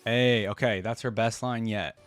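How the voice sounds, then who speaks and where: A man's voice: lowish voice, steady tone, normal speed. A man giving casual reaction commentary, musing aloud and dropping short interjections. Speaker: reaction video host. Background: faint music.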